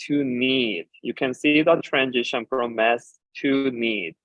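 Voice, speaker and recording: A man whose first language is Korean gives a conference talk as a designer and ceramicist, explaining his slides with frequent filler pauses. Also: mid-range voice, a bit bright, no echo, lo-fi sound. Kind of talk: conference presentation about slides.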